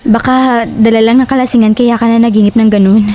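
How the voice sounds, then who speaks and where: Woman playing a high-voiced, concerned young friend. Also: fairly even intonation, fairly quick, dark tone, natural voice. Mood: soothing, concerned. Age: young adult. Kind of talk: Tagalog horror audio drama acting. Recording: noticeable background noise.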